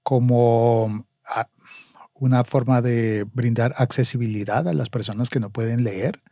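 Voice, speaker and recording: A man from Colombia, a guest in a casual podcast chat, speaking in a measured, reflective way. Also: mid-range voice, fairly even intonation, dark tone, dry recording, clean studio sound.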